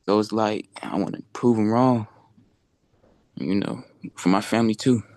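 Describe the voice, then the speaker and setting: A young man with a gravelly, slightly low voice, stopping to hunt for words. The sound is dry, through a call mic. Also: darkish tone, no echo. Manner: halting, searching for words. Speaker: football player. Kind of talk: casual video call chat. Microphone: video call.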